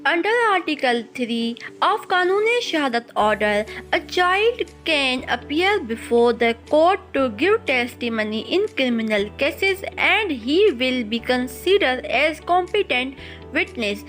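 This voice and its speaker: A woman with a high voice and a Pakistani accent delivers a scripted lecture. She reads very deliberately, setting out one point after another in an instructional manner. Music plays behind her voice. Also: sing-song, fairly bright tone. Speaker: law lecturer. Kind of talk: scripted lecture, reading aloud bilingually.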